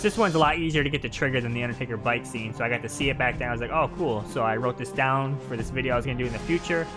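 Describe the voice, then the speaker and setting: A man giving casual, jokey live commentary as the host of a gaming video. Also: mid-range voice.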